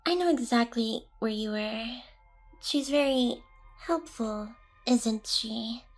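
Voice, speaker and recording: Woman voicing an ancient demon queen, high-pitched, very slow deliberate delivery, smug, possessive, seductive, intimate ASMR roleplay. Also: soft background music. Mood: jealous, cold.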